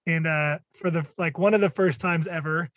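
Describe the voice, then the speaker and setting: A man's voice: on the high side, steady tone, moderate pace, fairly bright tone, no room sound, clean studio sound. A man hosting a podcast in a casual interview style, talking in an informal, rambling way. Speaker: podcast host.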